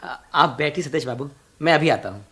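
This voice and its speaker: An actor playing a courteous, watchful caretaker in a radio drama, polite and inquiring. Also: male voice, a little high, animated, brisk, a bit bright, breathy edge. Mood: courteous, brisk. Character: courteous, watchful male caretaker of an old uncle.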